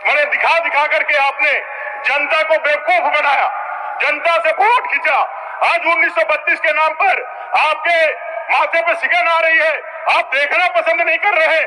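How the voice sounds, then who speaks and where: A male opposition legislator speaks in a reverberant chamber, his voice very high and piercingly sharp. He delivers a forceful, accusatory political speech built on pointed rhetorical questions. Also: fairly even intonation, normal speed. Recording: reverberant assembly hall sound system.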